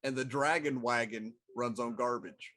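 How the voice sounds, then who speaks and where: Male co-host, middle-aged, medium pitch, relaxed conversational delivery. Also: normal speed. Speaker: talk show co-host. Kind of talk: casual chat.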